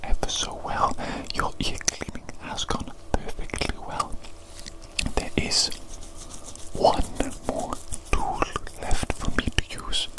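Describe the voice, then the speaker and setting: A woman's voice: takes it slowly, lightly acted, poor audio. A woman voicing a pompous evil doctor who dotes on a patient in a whispered ASMR roleplay, theatrical and given to repeating phrases. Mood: doting, soothing. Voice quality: breathy whisper. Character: pompous evil doctor doting on a big creature.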